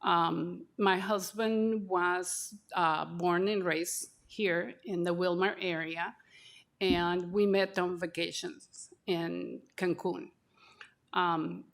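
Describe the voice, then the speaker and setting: A woman in her sixties from Mexico City, a native Spanish speaker with a Mexican Spanish accent, telling her personal story at a conference session, halting and full of fillers. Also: on the low side, creaky, fairly bright tone. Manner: slow, halting, with frequent fillers. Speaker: call center representative and speaker. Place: conference breakout room.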